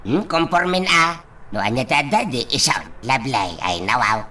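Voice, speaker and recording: A woman acting in an amateur radio drama, speaking in a sing-song way with drawn-out sighs. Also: extremely low pitch, sing-song, sharp tone.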